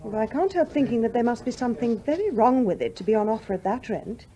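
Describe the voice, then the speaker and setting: An actress plays a young English widow in a radio drama, gentle yet determined. Her voice is fairly high and mellow, and it moves expressively up and down. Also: animated, quick pace, close to an everyday voice, rough recording. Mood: eager, insistent. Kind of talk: radio play acting.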